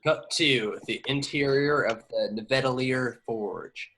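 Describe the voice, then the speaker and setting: A man's voice: medium pitch, measured pace, fairly bright tone, husky, poor audio. A man reading out stage directions as scripted narration at an amateur table read.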